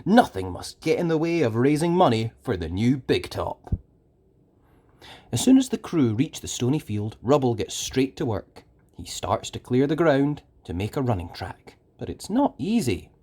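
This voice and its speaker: A storyteller reading a children's book aloud in an expressive, dramatic way with deliberate emphasis. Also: mid-range voice. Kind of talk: animated scripted children's story narration.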